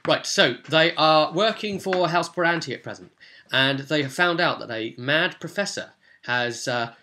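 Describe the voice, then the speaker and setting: Bright-voiced man, chatty gaming vlogger. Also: fairly high pitch, expressive, moderate pace, a little hiss or hum. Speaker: tabletop gaming vlogger, game master. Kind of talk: informal walkthrough commentary.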